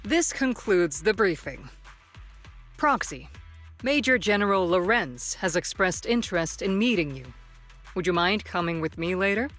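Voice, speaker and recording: A woman voicing a calm, authoritative female colonel in a video game, speaking in a measured, formal way. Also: fairly low pitch, soft background music. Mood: calm, reassuring. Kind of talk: scripted video game voice acting.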